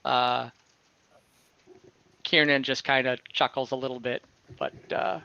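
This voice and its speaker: A man narrating an online tabletop game as game master, in a halting, informal way with chuckles. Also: medium pitch, level tone, gravelly, sharp tone, smooth, muffled recording. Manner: halting, chuckling, voices characters casually.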